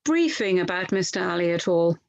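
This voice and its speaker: A woman reading the part of an inquiry lawyer from a transcript, courteous and methodical, slightly halting. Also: fairly low pitch, lively intonation, creaky, no echo, highs cut off. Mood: polite, methodical. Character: formal, polite inquiry lawyer questioning a witness.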